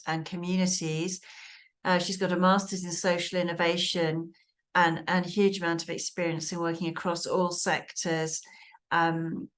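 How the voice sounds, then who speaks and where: A woman hosting an online webinar, introducing speakers with many pauses and restarts. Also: fairly low pitch. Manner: hesitant, many restarts and fillers. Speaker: webinar host.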